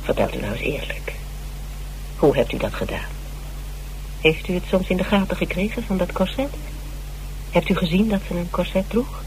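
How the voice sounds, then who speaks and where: A woman's voice: lowish voice, very animated, normal speed, long pauses, a little mellow. A woman in a Dutch radio drama playing a shrewd, composed investigator with a probing, matter-of-fact manner. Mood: firm, sceptical. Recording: noisy, humming old radio recording.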